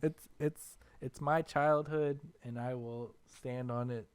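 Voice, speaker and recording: A young man hosting a casual podcast chat about video games, telling stories in an animated, self-deprecating way. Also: mid-range voice, takes it slowly, clear, resonant tone. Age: young adult. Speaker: podcast host.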